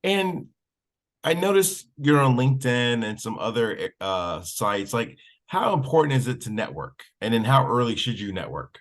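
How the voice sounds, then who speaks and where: Lively male interviewer asking questions. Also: medium pitch, moderate pace. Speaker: host interviewer.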